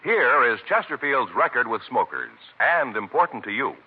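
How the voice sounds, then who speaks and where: A man's voice: medium pitch, big pitch swings, normal speed. An American man announcing in an emphatic, formal 1950s radio style. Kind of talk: 1950s radio announcing, dramatic scripted intro and ad read. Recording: muffled vintage radio recording with music.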